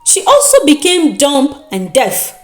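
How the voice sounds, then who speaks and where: A woman with a Nigerian accent narrating a folktale in a dramatic, expressive and deliberate storytelling manner. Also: sits high, soft background music. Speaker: storyteller and host.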